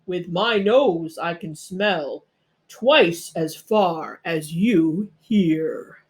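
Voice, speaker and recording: A woman voicing a big boastful male bear in a storybook, bragging slowly and emphatically with a bit of growl. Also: fairly low pitch. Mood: boastful, challenging.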